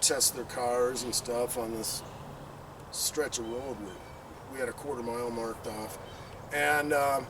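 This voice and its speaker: A man's voice: medium pitch, fairly even intonation, slow pace. Middle-aged man storytelling, far from the mic. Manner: rambling, acts out quotes and engine sound effects. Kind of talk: animated casual anecdote telling. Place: outdoor backyard patio. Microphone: camera several meters away. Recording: distant mic, outdoor background noise.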